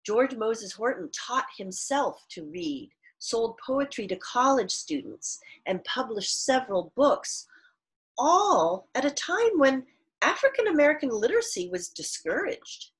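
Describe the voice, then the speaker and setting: A middle-aged woman reading aloud as a teacher, expressively and emphatically, pausing to explain things to pupils. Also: high-pitched, big pitch swings. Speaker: elementary school teacher. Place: small furnished room at home, indoors.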